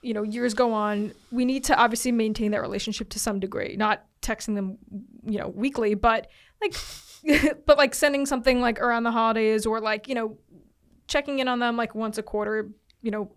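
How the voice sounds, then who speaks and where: Co-host, woman, fairly high voice, rambling questions with many fillers. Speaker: podcast co-host. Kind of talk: casual interview chat.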